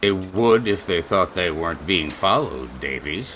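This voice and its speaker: A man's voice: low-pitched, animated, unhurried, fairly bright tone, a little hiss or hum. A man playing a stern major who leads a western posse in a radio drama, giving clipped, authoritative orders. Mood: commanding, measured. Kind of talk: old-time radio western acting.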